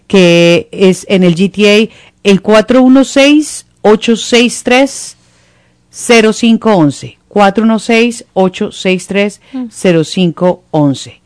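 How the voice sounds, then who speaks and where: A host with a mid-range voice talks casually and stops to spell out numbers slowly for the audience. The recording is dry and close-miked, with no reverb. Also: medium pitch, smooth. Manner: chatty, dictates phone numbers slowly. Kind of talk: conversational interview hosting.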